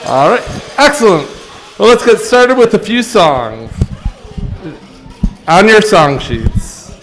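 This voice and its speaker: A man's voice: sits high, sing-song, slow pace, slight background noise, low-quality recording. A man hosting a live family event, welcoming the audience and announcing what comes next. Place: social hall, live broadcast.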